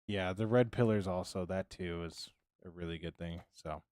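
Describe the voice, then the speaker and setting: A very low-pitched man talks through his game build on a livestream. His voice is hoarse and dry, and he rambles in a relaxed way, ending thoughts with loose fillers. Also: moderate pace. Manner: laid-back, rambling with trailing "so" and "yeah". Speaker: streamer. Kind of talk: casual livestream chat while building in a game.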